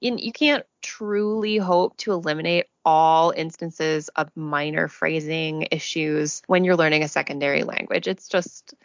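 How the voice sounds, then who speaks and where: A woman hosting a relaxed discussion about learning to write in a second language, speaking in a thoughtful, measured way with light laughs. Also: sits low, dry recording, lo-fi sound. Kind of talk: conversational discussion.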